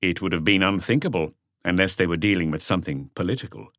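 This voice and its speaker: A man narrating a scripted crime story as an audiobook, in a measured storytelling manner. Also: deep voice, fairly bright tone, dry recording, studio quality.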